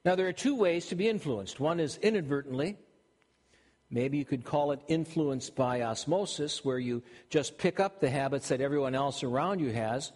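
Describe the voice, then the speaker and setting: A man's voice: a little high, moderate pace, darkish tone. An older man from Minnesota with a Minnesotan accent, preaching a sermon in a conversational way with frequent restarts. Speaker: pastor. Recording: long hall reverb.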